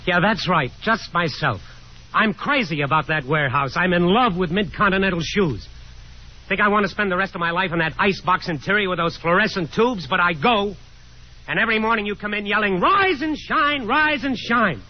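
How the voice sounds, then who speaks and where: A man's voice: high voice, moderate pace. An actor playing a restless, sardonic young man, a grown son, in a 1950s radio drama. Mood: frustrated. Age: young adult. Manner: sarcastic, dramatic. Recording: dull 1950s radio recording.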